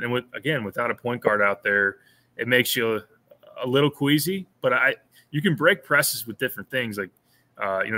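A man's voice: mid-range voice, bright, forceful tone. A man in his thirties co-hosting a sports podcast, breaking down a game in a thoughtful way, often restarting and repeating words. He talks from a small room at home over a video call, wearing headphones. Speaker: podcast co-host, sports analyst. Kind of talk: casual postgame analysis. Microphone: over-ear headphones with a webcam on a video call.

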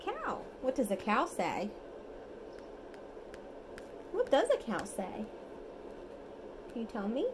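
A woman in her thirties reads a storybook to a small child. Her high voice swoops in a lively, sing-song lilt, and she takes her time, encouraging the listener. It is recorded on a phone at home, with a faint noise behind her. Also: slow, pauses often. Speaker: mother reading a bedtime story. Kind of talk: read-aloud storytelling to a toddler. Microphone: phone at arm's length.

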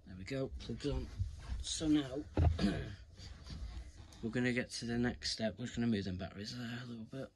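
A man's voice: medium pitch, moderate pace. A man giving a casual, hands-on tutorial, talking informally to his viewers as he works. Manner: informal, addresses viewers as "guys". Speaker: video creator giving a tutorial. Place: indoors, carpeted room. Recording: clear background noise, handling clicks.

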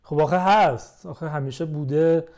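A young man in casual conversation as a podcast guest, explaining things in a measured way. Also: fairly high pitch, darkish tone.

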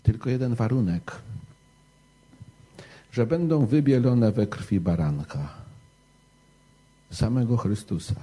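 A man preaches and tells stories to a young gathering in a very dark, mellow voice. He takes his time, speaking slowly and deliberately. The sound is husky rather than clean. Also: medium pitch, animated, rough recording. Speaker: preacher telling a bedtime parable to young people. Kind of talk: storytelling and short homily to a youth gathering.

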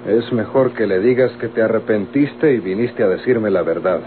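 A man's voice: fairly low pitch, moderate pace, natural voice. Man playing stern Mexican prosecutor, noisy old radio. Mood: curt, conciliatory. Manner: formal, authoritative legal phrasing. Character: stern, officious male public prosecutor. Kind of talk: Mexican radio soap opera acting. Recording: tinny old radio recording, clearly noisy.

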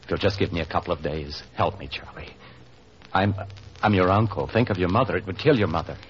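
A desperate, manipulative killer uncle played by a man from a 1940s radio thriller. His voice is extremely deep, heard through a dull old recording with faint noise. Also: fairly quick, close to an everyday voice. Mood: desperate pleading.

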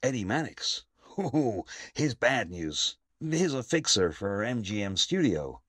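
A man's voice: on the low side, sing-song, slow, fairly bright tone, breathy edge, dry recording, studio quality, full character voice. A man playing an exasperated, wry private investigator in an audio play. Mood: wry, businesslike.

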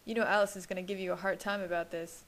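A woman's voice: mid-range voice, fairly even intonation, fast talker, natural voice. Woman reading young man, sarcastic, wry, teasing.

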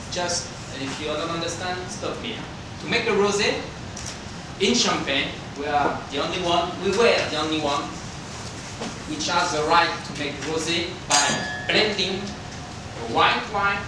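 Middle-aged male winemaker, French accent, slightly high voice, halting English, informal presentation picked up from a distance. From France. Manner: halting non-native English with simple phrasing. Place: indoor hotel function room. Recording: roomy, distant microphone with background noise.

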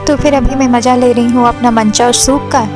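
A young woman food vlogger, chatting casually about a restaurant and its dishes, mixing English words into her talk with frequent "uh" pauses. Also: on the high side, fairly even intonation. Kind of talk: casual restaurant review voice-over. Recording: music playing under the voice.

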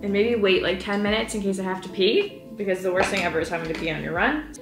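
A woman's voice: medium pitch, fast talker. A young woman in her twenties chatting upbeat to camera in a casual vlog, with lots of filler words. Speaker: fitness vlogger. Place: home kitchen and living room. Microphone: camera on counter, speaking from a few feet away. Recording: roomy apartment sound with music underneath.

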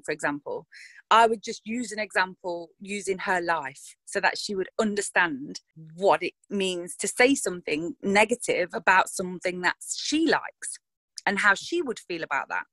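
A woman's voice: medium pitch, lively intonation, normal speed, a bit bright, dry recording. An actress talking frankly in a casual interview, thinking aloud and restarting her sentences.

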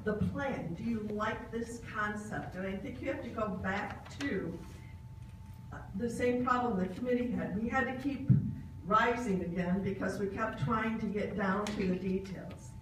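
A woman's voice: mid-range voice, a little slow, background noise. A middle-aged woman on a committee, answering audience questions at a town hall and explaining the plan. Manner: explanatory, repeats key questions. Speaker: committee member presenting. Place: large meeting room, indoors. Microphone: handheld mic. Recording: echoey hall, taped from far off.

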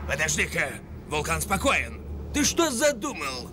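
An actor playing a bossy, impatient animal gang leader in a cartoon, scornful and commanding. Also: male voice, a little high, very animated, measured pace, noisy background. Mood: angry. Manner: commanding, scornful, barking orders. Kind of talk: cartoon villain dub. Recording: music behind the voice.

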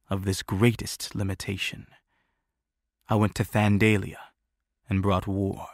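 A man performs an immortal fantasy tyrant for an audiobook. He declaims slowly and solemnly in a low voice full of fry. His manner is pompous and deliberate. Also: dry recording, studio quality. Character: grandiose immortal male tyrant declaiming his holy book.

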